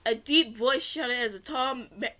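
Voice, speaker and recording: A woman with a fairly high, bright and forceful voice reads a story aloud in an amateur read-along style. She trips over words, corrects herself and sounds giggly. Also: unhurried. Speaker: amateur storyteller reading fan fiction aloud.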